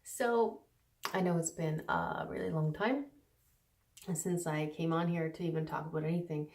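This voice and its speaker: A woman vlogging casually at home, rambling with many fillers and restarts, sometimes keeping her voice hushed. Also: fairly even intonation, normal speed. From Canada. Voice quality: low voice. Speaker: recovery vlogger. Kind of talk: casual unscripted talk to camera. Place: on the stairs at home, indoors. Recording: echoey, mic some distance away.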